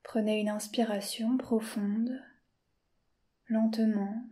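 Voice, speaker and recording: A woman teacher guiding a yoga nidra session in a slow, soft-spoken, calm way, often dropping to a whisper. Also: on the high side. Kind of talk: guided meditation.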